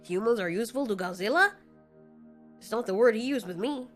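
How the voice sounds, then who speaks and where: A woman's voice: fairly high pitch, animated, brisk, faint music behind, natural voice. A woman voicing a cold male fire demon kaiju in an audio story, blunt, sardonic and slangy. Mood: matter-of-fact.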